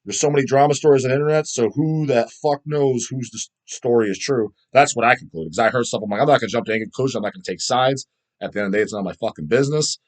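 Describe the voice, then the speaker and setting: A middle-aged man speaks at a quick clip in an average-pitched voice. He rambles casually as a video host answering comments, giving blunt, emphatic opinions and swearing heavily throughout. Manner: blunt, crude, heavy swearing.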